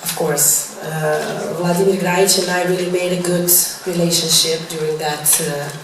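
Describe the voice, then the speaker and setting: A woman's voice: lowish voice, level tone, normal speed. Chatty woman in echoey room. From Serbia. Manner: chatty, laughing, apologetic, with many fillers. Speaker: singer answering press. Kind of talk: press Q&A chat. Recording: echoey noisy room, far from mic.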